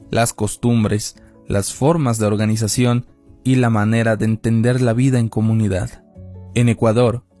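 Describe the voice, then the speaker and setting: A man's voice: mid-range voice, warm tone. Man narrating a radio report. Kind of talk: scripted narration.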